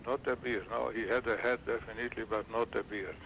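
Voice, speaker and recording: A man in his seventies from Romania, recalling his childhood in an interview and often pausing to search for words. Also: mid-range voice, steady tone, gravelly, a bit bright, a little hiss or hum, rough recording. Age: 70s. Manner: halting, restarts and searches for words.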